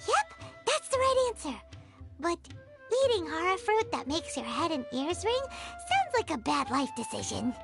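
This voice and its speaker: A woman voicing a tiny, excitable female fairy companion in a game cutscene, blurting out her thoughts. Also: very high voice, moderate pace, creaky, heavily acted. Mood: teasing. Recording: game soundtrack music behind.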